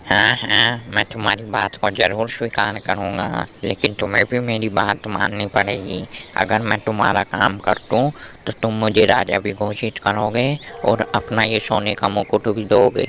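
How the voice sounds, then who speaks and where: A man's voice: fairly low pitch, flat delivery, moderate pace, creaky, bright, forceful tone. A man voicing an ambitious, cocky young lion who talks in a colloquial, boastful way in a cartoon fable. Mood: bargaining. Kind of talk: Hindi animated moral story dialogue. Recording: faint background noise.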